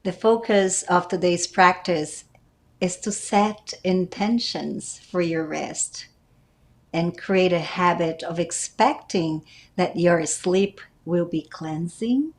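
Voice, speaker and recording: A woman leading a guided meditation as its teacher, speaking gently and deliberately with frequent pauses. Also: fairly low pitch. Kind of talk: guided meditation, calm instruction.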